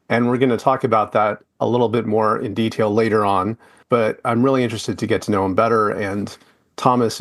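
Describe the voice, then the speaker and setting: A man hosting a podcast interview, talking loosely with lots of fillers and restarts. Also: medium pitch. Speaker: podcast host. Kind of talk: casual interview chat.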